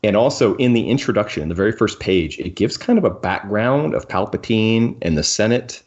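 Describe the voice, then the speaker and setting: Deep-voiced man, halting podcast talk. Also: lively intonation, muffled recording. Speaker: podcast host. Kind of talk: casual discussion of books.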